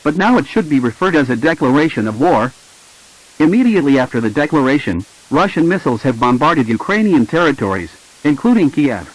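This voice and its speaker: A man's voice: fairly high pitch, big pitch swings, fairly quick, poor audio. A man reading a scripted emergency broadcast announcement in a formal, impersonal way.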